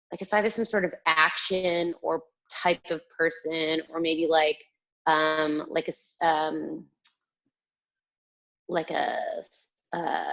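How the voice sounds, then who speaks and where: Bright-toned woman, slow and hesitant. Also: lowish voice, low-quality recording. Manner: hesitant, thinking aloud. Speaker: game show contestant. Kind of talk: casual comic banter. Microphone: video call microphone.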